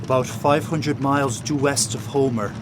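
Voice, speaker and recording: An actor playing a practical, worried crewmate in an audio drama, with a plain, businesslike delivery. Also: male voice, mid-range voice, fairly even intonation, normal speed, noisy background, natural voice. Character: practical, worried male crewmate navigating a boat. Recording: loud boat and storm ambience.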